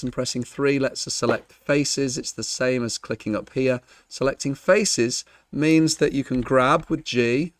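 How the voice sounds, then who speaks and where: A man with a croaky, half-lost voice, talking through a screen-recorded modeling tutorial in a chatty, jokey way with frequent ums. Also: medium pitch, no room sound. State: croaky, losing his voice. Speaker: Blender tutor.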